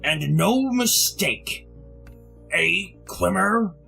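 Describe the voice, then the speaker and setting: An actor playing a pompous, scornful, rich old gentleman with a creaky voice in a retro radio drama. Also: high voice, animated, extremely slow, soft background music, heavily acted. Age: older.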